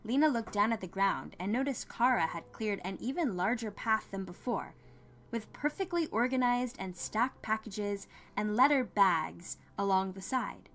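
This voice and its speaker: A woman reading scripted fiction as an expressive audiobook narrator. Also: mid-range voice, moderate pace. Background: music.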